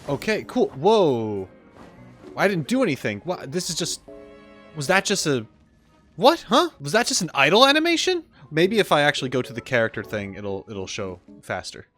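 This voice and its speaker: A man's voice: fairly high pitch, sing-song, moderate pace, fairly bright tone, faint music behind. A man hosting a reaction video, giving casual, chatty commentary with informal gamer slang. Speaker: host, animator reacting to game videos.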